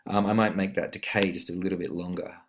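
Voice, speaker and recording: Male music production tutor, deep register, informal and explanatory, demo instrument sounds clearly present underneath. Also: flat delivery, fast talker. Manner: explanatory, step by step. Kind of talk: casual screen-recorded software tutorial. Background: musical instrument notes from demonstrations.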